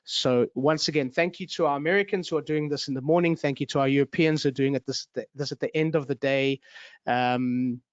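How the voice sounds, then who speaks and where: A man's voice: medium pitch, fairly even intonation, fairly quick, dry recording, highs cut off. A man, hosting an online webinar in a friendly, rambling way with many fillers and restarts. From South Africa. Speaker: webinar host, realtor.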